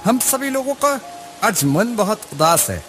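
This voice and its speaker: A man's voice: sits high, sing-song, a little slow, fairly bright tone, faint music behind, exaggerated voice. A man voicing a worried, respectful village man in a cartoon story, with a plaintive manner. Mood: downcast, worried. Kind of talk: Hindi cartoon story acting.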